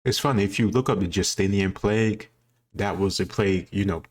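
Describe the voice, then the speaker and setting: A man's voice: low-pitched, a little fast, faint music behind. A man giving casual commentary as he answers quiz questions, thinking aloud and doubting himself. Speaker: host reacting to a history quiz.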